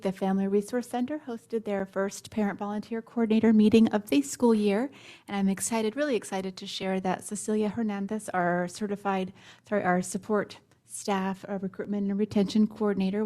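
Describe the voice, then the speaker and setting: A woman's voice: medium pitch, dark tone. A woman giving an eager staff report at a school board meeting, correcting herself as she goes. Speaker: district staff presenter.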